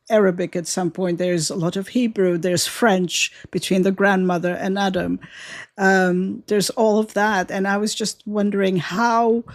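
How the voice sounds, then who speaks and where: Woman podcast host, mid pitch voice, asks curious open questions. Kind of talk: podcast interview.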